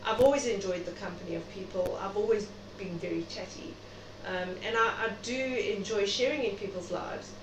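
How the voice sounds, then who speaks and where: A woman's voice: mid-range voice, normal speed, hardly any pauses. A middle-aged South African woman speaking reflectively and chattily as a guest in an interview. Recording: noisy, echoey room, distant mic.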